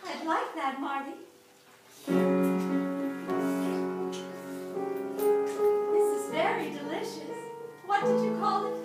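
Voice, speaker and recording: A woman playing a polite, gentle young carer in a live comic radio play. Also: very high-pitched, normal speed. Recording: echoey live venue, distant, loud music.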